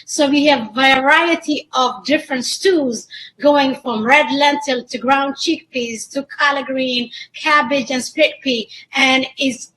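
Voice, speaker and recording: High-voiced woman being interviewed. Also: steady tone, slow pace, a bit bright, low-quality recording. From born in Ethiopia, grew up in Israel. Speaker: chef and restaurant owner, guest. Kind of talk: interview answers.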